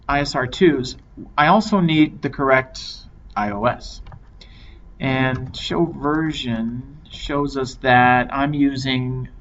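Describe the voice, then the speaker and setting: A man giving a measured, step-by-step software tutorial as an instructor. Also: medium pitch. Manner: measured, explanatory step-by-step delivery. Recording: dull lo-fi microphone with clear noise.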